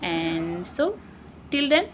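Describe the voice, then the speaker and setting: Slow, earnest woman. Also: lowish voice, very animated, slight background noise. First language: Hindi. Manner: earnest and halting, peppered with "okay". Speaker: English-learning channel host. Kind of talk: casual vlog talk to camera.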